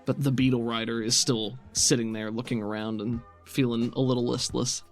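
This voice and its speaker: A man's voice: medium pitch, little pitch movement, normal speed. A man running a tabletop game as game master, narrating scenes off the cuff in a casual, encouraging way. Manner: encouraging, affirming. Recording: background music under the voice.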